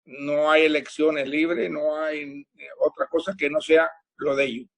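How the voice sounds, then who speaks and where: Firm Cuban man, fairly high voice. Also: level tone, measured pace, fairly bright tone. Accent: Cuban Spanish. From Cuba. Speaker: interviewee.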